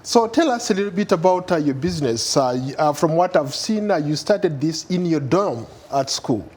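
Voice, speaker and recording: Male interview host, husky voice, fairly high pitch, hesitant questions, lots of filler sounds. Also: animated, normal speed, darkish tone. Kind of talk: broadcast interview.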